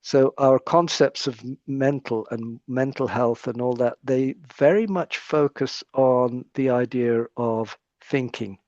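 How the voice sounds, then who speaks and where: A man's voice: medium pitch, measured pace, few pauses, darkish tone, no echo, muffled recording. A British man speaking as a psychiatrist guest in an interview conversation, explaining his points in a thoughtful way and often restarting his phrases.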